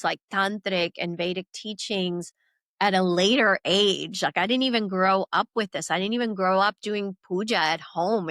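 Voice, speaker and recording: A woman's voice: medium pitch, fairly bright tone, no echo, clean studio sound. A woman from Venezuela talking as a guest in a spiritual conversation, in a reflective, loose and conversational way.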